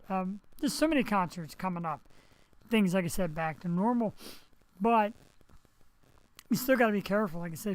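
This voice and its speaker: A man hosting a live webcast talk show, hoarse and raspy after surgery, rambling and chatting straight to his viewers through a headset mic. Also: high-pitched, rough recording. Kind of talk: casual live webcast monologue.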